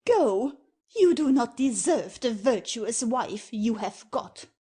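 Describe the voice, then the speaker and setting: Woman acting a shrill-pitched, husky haughty mother-in-law. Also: expressive, slow pace, warm tone, heavily acted. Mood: scolding, haughty. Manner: scolding, haughty. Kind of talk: classic stage comedy audio play.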